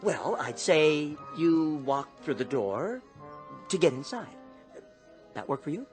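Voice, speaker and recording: An actor playing a harried, drily sarcastic stage director in a radio comedy, giving emphatic instructions. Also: male voice, fairly high pitch, very animated, takes it slowly, a little mellow, faint music behind. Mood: strained patience. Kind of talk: comedic radio drama acting.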